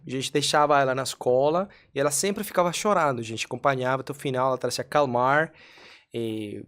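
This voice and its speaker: A man's voice: medium pitch, fairly bright tone. Colombian-accented man, earnest guest. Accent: Colombian Spanish. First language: Spanish. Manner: earnest, measured, searching for Portuguese words. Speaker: podcast guest. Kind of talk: casual interview storytelling.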